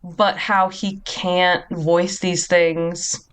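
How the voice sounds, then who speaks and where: A woman's voice: fairly low pitch, flat delivery, very slow, clear, resonant tone. A woman in her thirties, a film critic guest on a podcast, talking casually in long reflective thoughts. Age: 30s.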